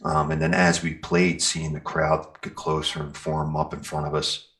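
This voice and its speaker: A man's voice: rumbling low, level tone, normal speed, a bit bright. An American man telling stories as an interview guest in a casual, rambling way peppered with "you know" and "like". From Pennsylvania, United States. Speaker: interview guest, metal band singer and guitarist.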